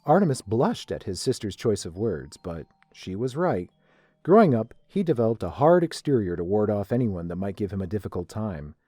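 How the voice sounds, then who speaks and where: Casting: male narrator for scripted fiction, mellow tone, animated intonation. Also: fairly low pitch, moderate pace, no echo, studio quality.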